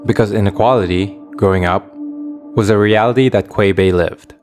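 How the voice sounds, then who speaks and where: Man narrating a doc, lowish voice, soft music under. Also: normal speed. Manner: clear, storytelling delivery. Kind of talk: scripted documentary narration.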